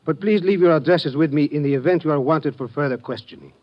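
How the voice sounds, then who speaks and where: An actor playing a courteous, formal police lieutenant with measured, official speech in a 1950s-style radio drama. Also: male voice, fairly high pitch, close to an everyday voice. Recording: dull vintage radio recording.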